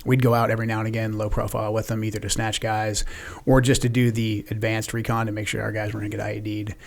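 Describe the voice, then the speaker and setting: The quick-talking, creaky-voiced American veteran in the guest chair of a podcast, swapping stories from deployment. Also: male voice, on the low side, little pitch movement. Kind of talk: casual conversation recounting deployments.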